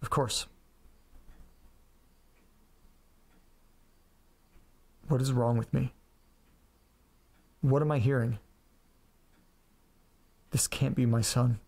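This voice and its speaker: A male actor plays a quirky, scatterbrained man in an audio drama. His voice is mid-pitched with a noticeable gravelly creak. He talks casually and haltingly, like an ordinary guy at home. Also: darkish tone. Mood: dry, deflated. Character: quirky, scatterbrained man living with pets and old letters. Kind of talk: audio drama acting, first-person narration and casual dialogue.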